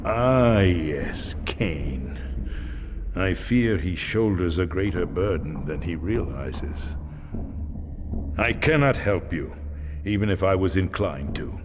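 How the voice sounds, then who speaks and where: An actor playing an ancient, weary vampire swordsmith in a video game, solemn and portentous in delivery. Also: male voice, low voice, expressive, slow pace, noisy background. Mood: weary, resigned. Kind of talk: dramatic video game cutscene acting. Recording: music behind the voice.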